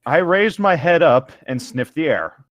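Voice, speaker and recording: A man co-hosts a comic read-aloud in a theatrical way. His pitch swings widely between narration and jokey casual asides, and the sound is dry. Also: animated, a little slow, no room sound. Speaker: co-host reading aloud.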